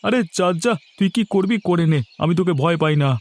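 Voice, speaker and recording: A man's voice: high voice, fairly quick, darkish tone. A man voicing a taunting, vengeful farm owner guarding his crop in a cartoon. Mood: defiant. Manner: taunting, defiant. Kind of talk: Bengali cartoon comedy acting.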